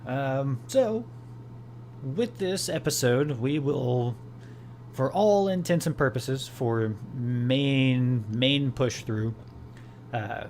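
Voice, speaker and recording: A man's voice: mid-range voice, lively intonation, very slow, darkish tone, slight background noise. A man hosting a podcast in casual chat, rambling with many fillers and restarts. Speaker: podcast host.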